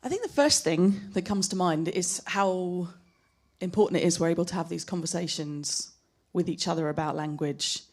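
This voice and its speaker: A woman speaking as a panelist at a live discussion, thoughtful and personal, measured in how she talks. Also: lowish voice, a little mellow. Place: gallery event space.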